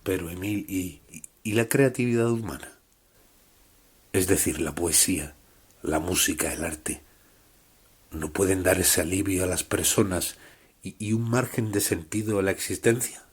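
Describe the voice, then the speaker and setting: A man's voice: low voice, a little slow, long pauses, slightly rough. A man acting as interviewer, putting questions in a scripted literary interview.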